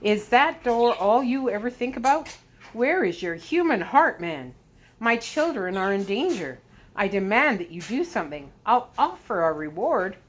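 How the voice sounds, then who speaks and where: A woman voicing a desperate, forceful father, a determined doctor in a storybook, urgent and demanding. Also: mid-range voice, very animated, measured pace, voice pushed up. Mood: indignant. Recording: clear background noise.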